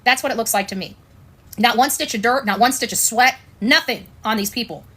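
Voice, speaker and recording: A woman's voice: a little high, brisk, a bit bright. An American woman giving informal, opinionated livestream commentary in a blunt, sarcastic way, repeating phrases for emphasis. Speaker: online commentator and show host.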